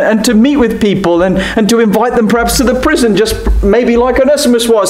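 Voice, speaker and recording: A young man preaching a sermon at a lectern, animated and gesturing, dropping to near-whispers at times. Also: high voice. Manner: animated, gesturing preaching with sharp drops to quiet. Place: church hall at a lectern. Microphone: lectern mic from a distance. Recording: echoey church room.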